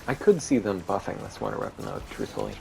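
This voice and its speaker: Low gravelly monotone man. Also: fast. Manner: monotone, rambling, with many fillers. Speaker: gaming video creator. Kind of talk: casual gameplay commentary. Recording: steady background noise.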